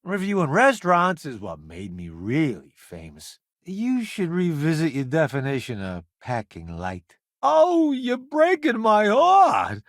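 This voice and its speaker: A man's voice: fairly high pitch, dry recording, studio quality, heavily acted. A man voicing a vain, wisecracking, cowardly food-columnist sidekick in a space video game, with a breezy, theatrical, boastful delivery. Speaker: companion character in a space game. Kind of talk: animated video game voice acting.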